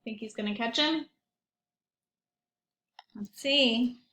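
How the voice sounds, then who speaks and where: A woman teacher reading aloud and talking to young children in an expressive storytelling manner, with questions and asides. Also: a little high, slow pace, reverberant. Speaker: primary school teacher reading aloud to pupils.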